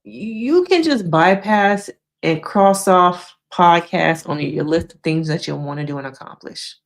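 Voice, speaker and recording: A woman's voice: fairly low pitch, unhurried. A woman hosting a casual live stream, chatting frankly with viewers, laughing often, and losing her voice.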